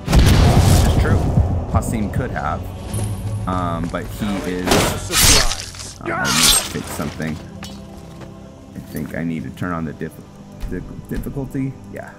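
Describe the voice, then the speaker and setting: A man's voice: deep voice, big pitch swings, a little slow, long pauses. A man giving casual gameplay commentary, rambling and hesitant as he thinks aloud. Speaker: gaming video host; roleplay story writer. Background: music.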